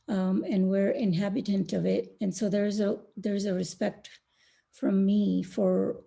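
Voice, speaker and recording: Slow, gravelly-voiced woman panelist. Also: medium pitch, dark tone. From India. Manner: slow, thoughtful, with many pauses and fillers. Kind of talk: online panel remarks. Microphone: video call.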